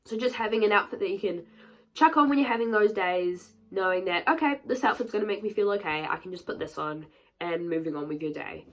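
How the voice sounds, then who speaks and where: A young woman with a stuffed-up nose from a cold, chatting earnestly to camera with a lot of "like" in her talk. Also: lowish voice. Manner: chatty and earnest, many "like" fillers. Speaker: vlogger. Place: sunny room at home. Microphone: camera a little way back.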